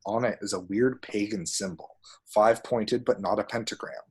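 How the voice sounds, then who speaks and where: Male narrator, low and husky, reading stage directions at a script table read. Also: steady tone, moderate pace, a little mellow. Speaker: reader of action descriptions at a table read. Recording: home recording.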